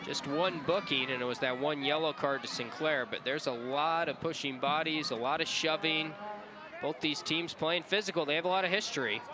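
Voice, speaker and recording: A man's voice: a little high, moderate pace, a crowd in the background. A man giving conversational color commentary during a live soccer match. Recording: very noisy outdoor stadium ambience. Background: loud outdoor match ambience.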